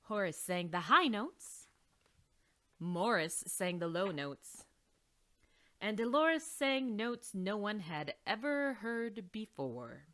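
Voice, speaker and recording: A woman reading a children's picture book aloud in an expressive storytelling manner. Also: mid-range voice, takes it slowly. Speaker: read-along reader.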